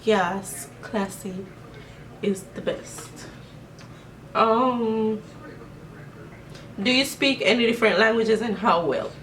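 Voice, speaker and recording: A woman's voice: medium pitch, lively intonation, slow pace, pauses often, fairly bright tone, far from the mic. A young Jamaican woman chats through a casual question-and-answer vlog, rambling with plenty of fillers. She sits a little back from the camera mic. A faint hiss lies under her voice. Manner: chatty, rambling, many fillers. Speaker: vlogger.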